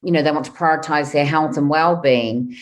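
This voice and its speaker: A woman with a New Zealand accent speaks in a low, rather deep register. She is in her fifties and talks as an interview guest, chatty and thinking aloud as she answers. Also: a little fast. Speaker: guest, wealth coach.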